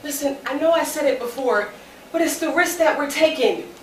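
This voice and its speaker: An echoey, noisy stage recording. An actress plays an anxious young American mother-to-be with a very high voice, earnest and pleading in a stage drama. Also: moderate pace, natural voice. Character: anxious pregnant young woman pleading with her partner. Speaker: stage actor. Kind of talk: stage play acting. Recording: echoey distant stage recording, clear noise.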